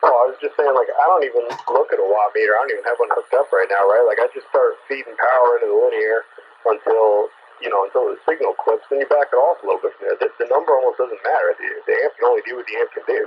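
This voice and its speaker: A man's voice: medium pitch, fast, highs cut off. A man chatting casually over two-way radio, agreeable and conversational, often repeating words as he thinks. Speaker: amateur radio operator chatting on air. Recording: noisy band-limited CB radio transmission.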